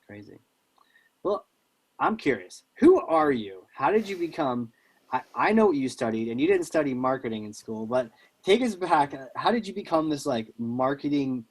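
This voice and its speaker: Guy hosting a podcast, casual interview chat, medium pitched voice. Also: very animated, moderate pace, rough recording.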